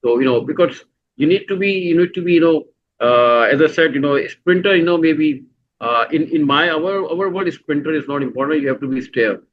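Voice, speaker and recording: A middle-aged man with a Pakistani accent, answering interview questions in a halting way, full of "you know" fillers and restarts. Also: a little high, muffled recording, slight background noise. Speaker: guest.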